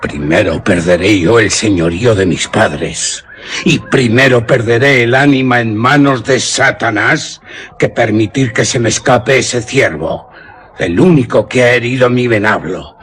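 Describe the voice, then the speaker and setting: A man's voice: medium pitch, unhurried, a bit bright, slightly rough. An actor playing a proud, reckless young nobleman hunter in a suspense radio drama, declaiming with passion in Castilian Spanish. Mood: defiant, impassioned. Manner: imperious, impassioned, declamatory.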